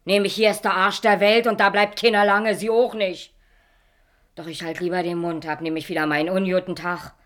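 An actress playing a blunt, chatty, grumpy secretary in a German radio drama, talking in clipped colloquial phrases. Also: female voice, fairly low pitch, flat delivery, fairly quick, close to an everyday voice. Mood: grumpy, frank.

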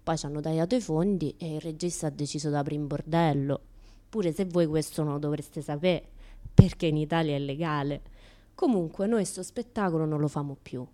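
A woman's voice: deep voice, brisk, dark tone, lightly acted. An actress playing a brash, unashamed, chatty woman with a Roman accent in a comic radio monologue, talking in a colloquial, teasing way. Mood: deadpan complaining. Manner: colloquial, teasing, mimics other people in dialogue. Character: brash, chatty naked woman who turns up everywhere, unashamed.

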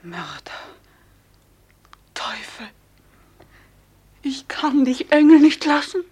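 A woman's voice: sits high, animated, slow, darkish tone. An actress playing a passionate, distraught young noblewoman in a classical radio drama, theatrical, moving between whispers and cries. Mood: rapturous, torn. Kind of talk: classical German radio play acting, declamatory.

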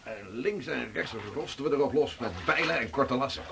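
An actor in a radio play as a seafaring man telling of an Arctic voyage, hearty and affectionate, with a faint rumble behind. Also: lively intonation, moderate pace, vocal fry. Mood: storytelling. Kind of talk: radio drama acting.

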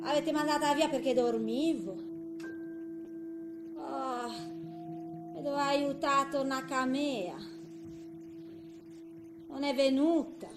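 A woman's voice: sits high, steady tone, slow, pauses often, roomy sound, over music. An actress playing a frail, bewildered eighty-year-old grandmother who rambles to herself in a theatrical monologue. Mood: groggy, confused.

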